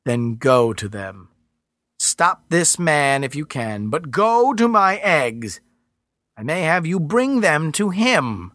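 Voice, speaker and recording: Guy doing a slow scary earth mother spirit, drags every word out, dry close sound. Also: a little high, sing-song, a bit bright, no echo, pitched above the performer's own voice, heavily acted. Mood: commanding. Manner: slow, drawn-out, menacing. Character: commanding female earth spirit, slow and wrathful.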